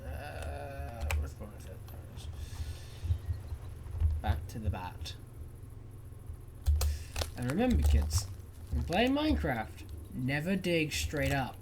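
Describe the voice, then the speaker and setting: English woman, tired let's-play host, rambly and self-mocking, keyboard typing clear behind. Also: very deep voice, big pitch swings, slow, long pauses, noisy background. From England. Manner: chatty, rambling, self-deprecating. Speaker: gaming YouTuber. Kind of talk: casual gameplay commentary.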